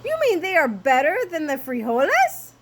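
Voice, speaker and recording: A woman acts out a boastful little kitten boy who fancies himself a Chihuahua hero. The voice is high and swooping, full of dramatic mock-Spanish exclamations, as in a storybook, with some background noise. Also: sing-song, slow pace. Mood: excited.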